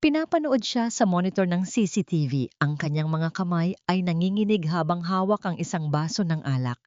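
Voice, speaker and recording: Dull-sounding, lower-voiced woman telling a drama. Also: animated, normal speed, a little mellow, no echo. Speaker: audiobook narrator. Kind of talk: dramatic scripted storytelling.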